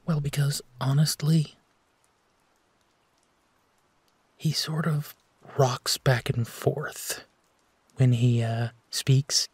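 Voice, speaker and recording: Male actor as tender protective werewolf boyfriend for comfort audio; unhurried, mellow, close and dry. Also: medium pitch, steady tone, husky, no echo. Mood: gently amused. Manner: soft, soothing, hesitant pauses, often drops to whispering. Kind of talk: intimate roleplay audio, sleep-aid.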